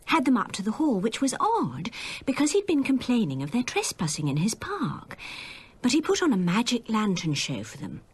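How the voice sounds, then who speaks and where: Gossipy English woman, radio drama, extremely animated pitch, breathy husky tone, hushed dramatic emphasis. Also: normal speed, heavily acted. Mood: animated, shocked.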